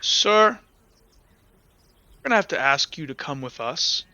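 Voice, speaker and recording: A man's voice: on the high side, expressive, unhurried, bright, forceful tone, no room sound, dull audio, heavily acted. A man voicing a stern male Inquisitor soldier who speaks in a curt, formal, businesslike way.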